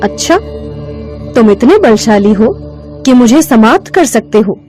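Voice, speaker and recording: A woman's voice: a little high, expressive, measured pace, darkish tone. A woman playing a goddess in the form of a blazing light, composed and taunting as she challenges proud gods in a devotional story. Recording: music behind the voice.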